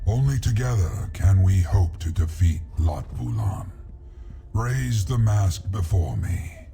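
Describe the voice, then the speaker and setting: An actor playing an ancient, weary male dragon in a fantasy video game, solemn and portentous, with a gravelly rumble. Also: very animated, mellow, heavily acted. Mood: solemn resolve. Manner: slow, portentous, archaic phrasing.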